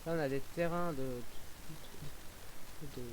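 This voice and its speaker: Young male gaming vlogger, slightly high voice, hesitant and rambling, game engine noise and faint hiss behind him. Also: a little slow. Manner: rambling, hesitant, many fillers and restarts; excitable whoops while driving. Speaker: gaming YouTuber. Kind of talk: casual gameplay commentary.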